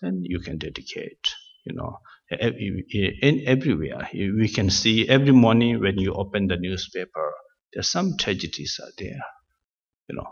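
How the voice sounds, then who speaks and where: A fairly low-voiced man who is not a native English speaker gives a Dharma talk, pausing often on fillers and restarting his phrases. His manner is gentle and self-deprecating. The sound is dull and carries a long hall reverb. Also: creaky, breathy edge. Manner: halting, many uh fillers and restarts. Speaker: Buddhist teacher.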